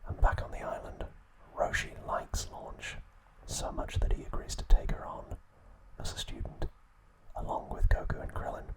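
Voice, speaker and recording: Male ASMR narrator, fully whispered, soft and unhurried storytelling delivery. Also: low-quality recording. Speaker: ASMR host.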